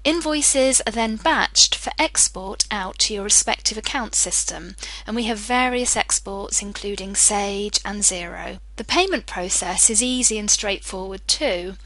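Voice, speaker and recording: A woman presenting a software demo as a scripted screencast, explaining clearly step by step. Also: medium pitch, a little slow, dry recording, rough recording. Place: voice-over for screen recording.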